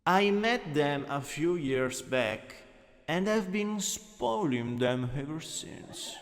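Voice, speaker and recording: Slow, coaxing Italian-accented man acting a male teacher, reverberant. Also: fairly high pitch, animated. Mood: lecturing, composed. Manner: coaxing, doting, instructive, unhurried. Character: gently dominant male anatomy teacher, doting on a catgirl-like pet companion. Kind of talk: ASMR roleplay, scripted. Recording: long reverberant hall echo.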